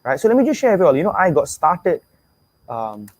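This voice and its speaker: Young man, Singaporean English accent, fairly high voice, energetic webinar host, dry close recording. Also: brisk, no room sound. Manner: energetic, repeats himself, asks the audience to type in replies. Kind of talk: motivational webinar pitch.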